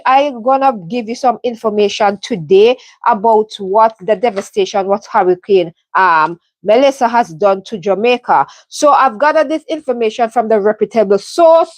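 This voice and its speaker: A woman from the Caribbean speaks earnestly on her live stream, pausing often with ums and starting sentences over. Her voice sits a little high. The sound is dry and close, with no room echo. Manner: earnest, heartfelt, many ums and restarts. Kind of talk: casual live stream update. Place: home in London.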